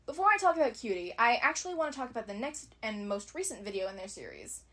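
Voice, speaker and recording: A woman's voice: a little high, sing-song, fast talker, a bit bright. A woman giving conversational scripted commentary as a fan video essayist, analytical and opinionated with wry asides.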